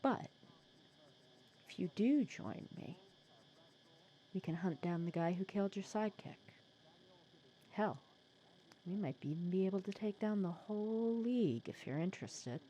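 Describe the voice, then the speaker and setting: A woman playing a sly, secretly caring female supervillain in an intimate ASMR roleplay, casual and teasing, softly breathy. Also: medium pitch, expressive, unhurried, pauses often, lo-fi sound, a little hiss or hum, natural voice. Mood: persuasive, frank. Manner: casual, teasing, persuasive, sardonic.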